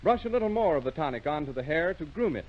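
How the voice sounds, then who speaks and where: A man's voice: on the high side, big pitch swings, fast, a little hiss or hum. A man announcing in a formal, polished 1930s radio advertising style, on a muffled old recording.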